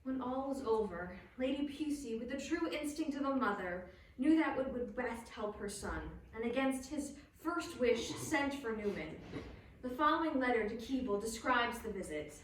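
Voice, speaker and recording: A woman's voice: on the high side, animated, moderate pace. A woman narrating a scripted dramatic reading. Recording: distant echoey hall, faint room noise.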